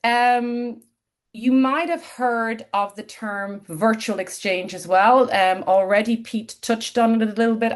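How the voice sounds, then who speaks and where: An Irish woman, a lecturer and researcher, presenting a keynote over a video call, explaining at length with many ums. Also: medium pitch. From Ireland. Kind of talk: webinar presentation.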